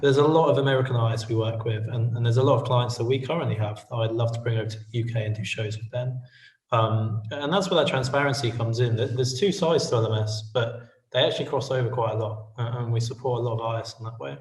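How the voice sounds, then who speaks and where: A man's voice: mid-range voice, quick pace, darkish tone. Earnest man in a roomy space. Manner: earnest, business-minded, many fillers. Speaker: guest, media company co-founder. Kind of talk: casual interview answers. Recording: roomy audio from far off the mic.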